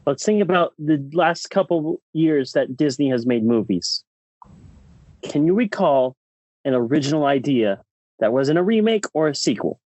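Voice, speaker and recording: A man's voice: fairly high pitch, lively intonation, normal speed, a little mellow, no room sound, rough recording. A man co-hosting a podcast, chatting casually with blunt, opinionated delivery. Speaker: podcast co-host.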